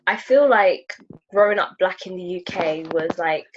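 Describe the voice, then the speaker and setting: A woman's voice: fairly low pitch, animated, normal speed, a little hiss or hum. A young woman from East London with a London accent, chatting casually in a group discussion over a dull-sounding video call.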